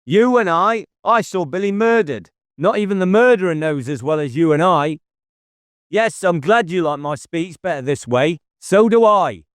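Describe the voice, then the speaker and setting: A man's voice: high-pitched, lively intonation, normal speed, no echo, voice pushed up. A man voicing a sly, menacing woodsman in an audiobook, taunting and intense, with a subtle Cornish burr. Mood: menacing, gloating. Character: menacing, sly male woodland murder suspect with bow. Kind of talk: audiobook character dialogue.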